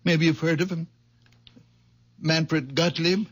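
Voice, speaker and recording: A halting, apologetic very old man. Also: on the high side, fairly even intonation. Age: older. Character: gentle, troubled very old man with healing hands. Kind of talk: 1970s radio drama acting.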